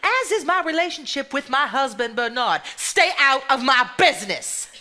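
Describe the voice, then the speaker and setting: An actress playing a fretful, proud and defensive married sister in a 1950s stage drama. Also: female voice, high-pitched, lively intonation, normal speed, sharp tone. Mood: indignant. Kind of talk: live stage acting.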